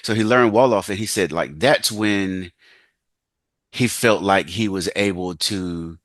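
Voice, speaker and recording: Deep-voiced, casual male interviewer. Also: fairly bright tone, dry recording, clean studio sound. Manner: casual, halting, many fillers. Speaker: host. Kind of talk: conversational interview.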